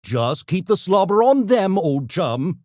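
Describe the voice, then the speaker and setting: Video game gremlin, erudite and impish, high voice, close dry sound, pompous mock-courteous phrasing. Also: male voice, sing-song, measured pace, dark tone, no echo. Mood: smug, urbane. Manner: posh, pompous, theatrical, mock-polite diction. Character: urbane genteel mischievous gremlin, a genetically engineered intellectual creature. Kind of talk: video game character voice lines.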